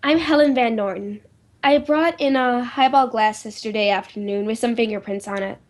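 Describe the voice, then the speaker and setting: A woman's voice: on the high side, normal speed, close to an everyday voice. A woman playing an anxious, insistent well-to-do lady in old time radio drama style, urgent and faltering in manner. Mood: anxious, disbelieving.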